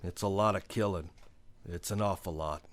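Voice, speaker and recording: A man's voice: low voice, steady tone, moderate pace, lightly acted. A man with an American accent playing a weary, stern, brooding marshal in a western radio drama, speaking in a terse and grave way. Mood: grim, brooding.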